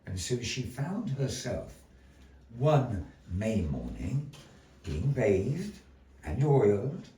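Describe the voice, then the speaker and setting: A man telling a dark fairy tale live in a florid, dramatic manner, with deliberate pauses. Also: on the low side, sing-song. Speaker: storyteller in a puppet show. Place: indoor gallery performance space. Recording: echoey room, distant mic.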